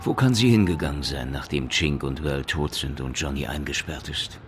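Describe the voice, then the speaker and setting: An actor playing a cool, reasoning police detective in a radio crime drama. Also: male voice, rumbling low, moderate pace, slight background noise.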